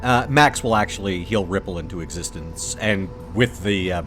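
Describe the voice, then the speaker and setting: A man's voice: deep voice, moderate pace, over music, close to an everyday voice. A man playing a casual, plain-spoken male vampire in a tabletop game, talking informally and a little hesitantly. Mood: casual, placating.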